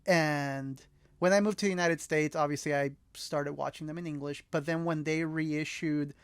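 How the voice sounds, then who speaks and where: Higher-pitched man in a dry-sounding recording. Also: clean tone, no echo. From El Salvador. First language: Spanish. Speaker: podcast co-host. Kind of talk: casual film discussion.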